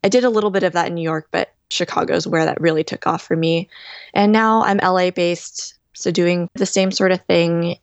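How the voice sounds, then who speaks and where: A woman in her thirties answers interview questions in a lowish, steady voice. She explains things in a thoughtful, measured way. The recording is dry and close, with no room sound. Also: no room sound, dull audio. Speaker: DJ and guest.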